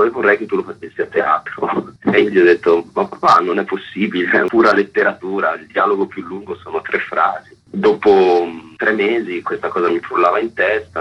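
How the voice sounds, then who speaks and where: Deep voiced guy, husky edge, gushing earnestly in a radio interview, muffled lo-fi line. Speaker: theatre director, interview guest. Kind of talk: conversational interview, explanatory.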